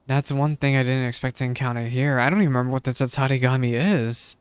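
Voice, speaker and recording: Casual game streamer thinking out loud. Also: mid-range voice, fairly even intonation, fast, clear, resonant tone. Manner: musing aloud, questioning. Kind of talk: casual live-stream reaction commentary.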